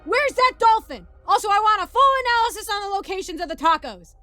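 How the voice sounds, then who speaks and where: A woman's voice: high voice, normal speed, a bit bright, dry recording, soft background music, pitched above the performer's own voice. A woman voicing a bossy, hot-tempered male space sergeant who barks orders in a comic story. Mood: furious, barking. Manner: barking drill-sergeant commands.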